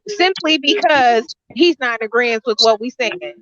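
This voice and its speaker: A woman's voice: on the high side, normal speed, muffled recording. Indignant, chatty woman on a call. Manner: animated, indignant, lots of "like" and "you know". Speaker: livestream guest. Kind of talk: casual livestream chat.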